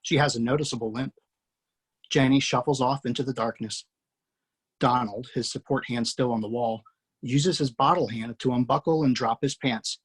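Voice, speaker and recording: A man reading screenplay action lines aloud in a steady, matter-of-fact way. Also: mid-range voice, moderate pace, slightly rough, low-quality recording. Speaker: screenplay reader.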